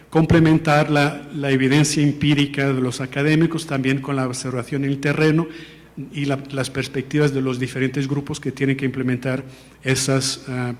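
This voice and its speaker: Presenter, male, Portuguese accent, fairly high voice, halting and careful, recorded in a reverberant hall. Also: a little mellow. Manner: careful, hesitant, frequent fillers and self-corrections. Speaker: presenter of an education policy report. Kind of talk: formal presentation to an audience. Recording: long reverb of a large hall.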